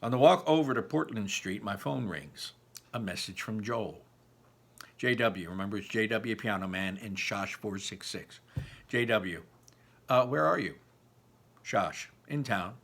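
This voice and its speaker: A man reading a novel aloud in an expressive, emphatic, informal way, like a teacher, with personal asides. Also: on the low side, a little slow, creaky.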